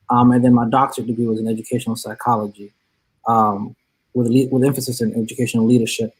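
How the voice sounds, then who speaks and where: A man's voice: mid-range voice, darkish tone, slightly rough, rough recording. A man speaking as a college counseling professor on a panel discussion, earnest and academic, with frequent restarts.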